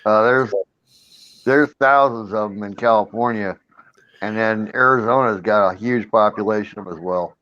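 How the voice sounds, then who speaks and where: Older retired cop chatting on a call. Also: male voice, fairly low pitch, normal speed, a bit bright, no room sound, dull audio. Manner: friendly, chatty, agreeable. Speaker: chat guest, retired police officer.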